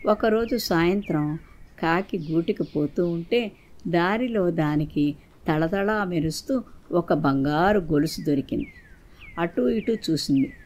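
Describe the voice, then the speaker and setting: A woman's voice: on the low side, darkish tone. A woman narrating a scripted moral folktale for children as a storyteller.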